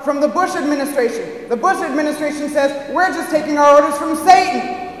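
A man's voice: extremely high pitch, fairly quick. A man gives an impassioned, rousing public speech as a community activist at a hearing. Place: large public meeting hall. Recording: echoey hall from a distance.

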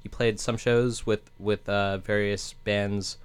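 A male interviewer with a fairly low voice asks his questions haltingly. He repeats words and restarts his sentences often. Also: flat delivery, clean tone.

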